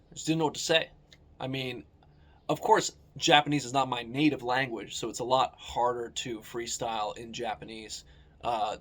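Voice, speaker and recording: A man's voice: mid-range voice, fairly bright tone. An American man narrating his own vlog in a casual, candid way, swearing now and then. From United States. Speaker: vlogger.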